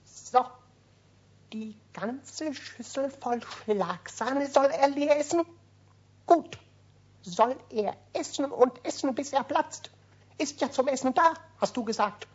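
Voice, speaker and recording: A small, jealous kobold in a children's radio play. The voice is very high and unhurried, insistent and pouting. The old recording sounds dull. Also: female voice, lively intonation, husky, exaggerated voice. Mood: indignant, sulky. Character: small, jealous, cheeky kobold, indignant and greedy.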